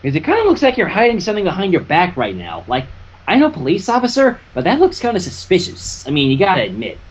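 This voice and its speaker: Cocky young cartoon mare played by a fanfic reader, slangy and blunt, husky with animated swings. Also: mid-range voice, fast talker. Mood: skeptical. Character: brash, laid-back young pegasus pony mare, teasing.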